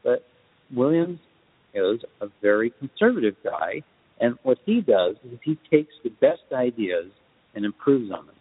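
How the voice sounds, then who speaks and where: A man with a husky voice explains his points in a deliberate, emphatic way, as in a podcast discussion. The sound is narrow and phone-like, with a long reverberant tail. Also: mid-range voice, sing-song. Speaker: guest film composer.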